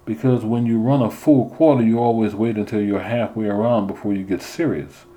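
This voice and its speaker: A man's voice: lowish voice, fairly even intonation, a little fast, dark tone, voice pushed up, lightly acted. Man doing a stern track coach. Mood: instructive. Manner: commanding, insistent.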